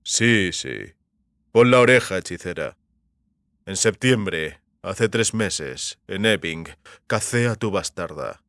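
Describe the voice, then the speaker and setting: A man voicing a brutal, gloating bounty hunter in an audiobook, speaking slowly and menacingly through gritted teeth. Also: low voice, lively intonation, a bit bright, no room sound, clean studio sound, voice pushed down, full character voice. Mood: gloating menace. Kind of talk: audiobook character acting.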